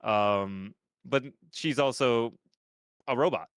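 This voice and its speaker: A man's voice: medium pitch, slow, a bit bright, clear, resonant tone, no room sound, studio quality. A young man with a stuffed-up nose, chatting on a podcast in a rambling way, with lots of restarts and casual swearing. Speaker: podcast host.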